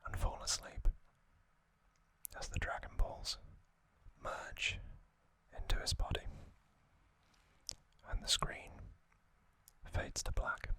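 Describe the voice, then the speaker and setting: Whispering man, very slow ASMR narration. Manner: slow, hushed, gentle storytelling in short broken phrases. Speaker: ASMR video host.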